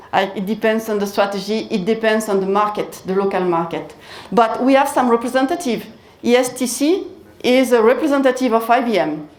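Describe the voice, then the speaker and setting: A woman presents at a conference with a fairly high voice, speaking into a handheld mic. She talks in a measured way and repeats her key phrases. The hall around her is echoey and roomy. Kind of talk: business presentation with Q&A.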